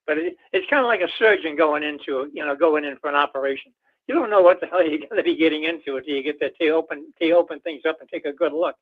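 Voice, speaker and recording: Tinny phone line, quick higher-voiced male caller. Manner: rambling, folksy, leans on filler phrases. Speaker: caller to a radio show. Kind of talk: casual political phone-in chat. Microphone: telephone.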